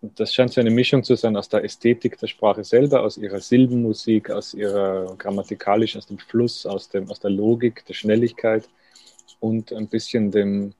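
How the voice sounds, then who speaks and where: A man's voice: fairly low pitch, level tone, moderate pace, dark tone, no room sound. A man, a writer thinking aloud in a thoughtful, self-deprecating way as a radio interview guest.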